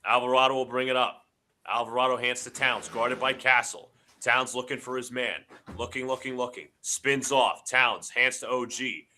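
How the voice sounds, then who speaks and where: A man calls a basketball game play by play for his live stream audience, his voice a little stuffy from allergies. The tone is bright and sharp, and he keeps up a quick, excitable running commentary that jumps to shouting when his team scores. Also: mid-range voice. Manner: excitable, shouts on big plays. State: congested from allergies. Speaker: livestream host doing play-by-play.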